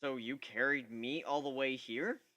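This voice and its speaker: A man's voice: mid-range voice, steady tone, measured pace, sharp tone. A man voicing a cocky, easygoing male griffin in a flippant, self-mocking way for an amateur story reading. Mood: sheepish.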